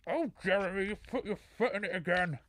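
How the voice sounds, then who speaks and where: A man's voice: high voice, fast, a bit bright, no room sound. A man playing an exasperated scientist absorbed into a blob creature, fussy and correcting, in a comedy audio drama.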